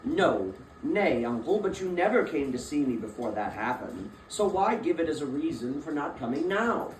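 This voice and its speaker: An actor playing a cheerful, warm-hearted nephew in a theatrical reading, earnest and pleading in an oratorical way. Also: male voice, fairly high pitch, very animated, normal speed. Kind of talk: theatrical dramatic reading of Victorian story. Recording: distant echoey room, faint noise.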